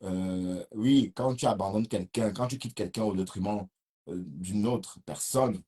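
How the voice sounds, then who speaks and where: A man with a Cameroonian French accent chats casually on a live stream, replying to viewer comments and restarting his sentences as he goes. His voice sits on the low side. It has a husky, slightly rough edge. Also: measured pace, mellow. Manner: reads viewer comments and answers them, rambling with restarts.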